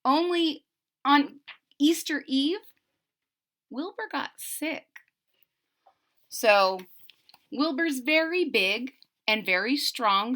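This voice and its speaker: Female storyteller for young children; fairly high, unhurried, expressive picture-book delivery. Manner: deliberate, expressive, child-directed. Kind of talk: expressive read-aloud with simple recaps.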